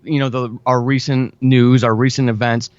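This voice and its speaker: A man's voice: medium pitch, flat delivery, normal speed, no room sound, highs cut off. An American man talking chattily and with enthusiasm as a guest in a conversational interview, repeating words for emphasis. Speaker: podcast guest, audio drama writer.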